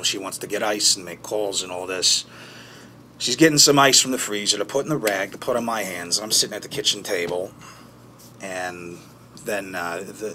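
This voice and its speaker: A man playing a haunted, bitter man who recounts childhood trauma in a halting, foul-mouthed documentary-interview monologue. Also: lowish voice, pushed and bright, a little hiss or hum. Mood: uneasy. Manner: halting, foul-mouthed, with pauses and emphatic bursts. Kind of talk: naturalistic dramatic monologue in interview form.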